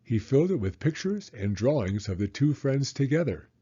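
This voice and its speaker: A man reading a children's story in an expressive, deliberate narrating style with theatrical emphasis. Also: medium pitch, husky, dull audio.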